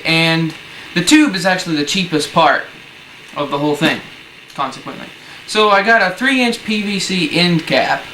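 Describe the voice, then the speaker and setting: A man's voice: a little high, pushed and bright, rough recording. A man presenting a casual, hands-on DIY tutorial with informal, slangy, laid-back phrasing. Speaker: presenter of a DIY how-to video. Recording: noticeable background noise.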